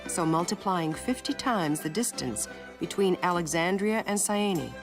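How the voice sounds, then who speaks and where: Low-voiced woman narrator over music. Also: expressive, unhurried. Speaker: documentary narrator. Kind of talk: scripted educational film narration.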